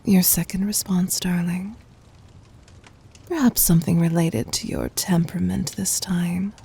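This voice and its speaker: A woman playing a tender, possessive demon queen in an intimate ASMR roleplay, speaking in a soft breathy whisper with regal, formal endearments. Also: lowish voice, unhurried, exaggerated voice. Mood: tender, seductive.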